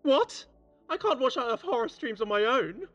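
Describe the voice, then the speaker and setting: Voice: mid-range voice, big pitch swings, normal speed, a bit bright, dull audio, soft background music. A performer playing a cheeky, playful, teasing colleague at a space clinic in an audio drama, breezy and informal. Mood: friendly, pleading. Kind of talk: sci-fi audio drama acting.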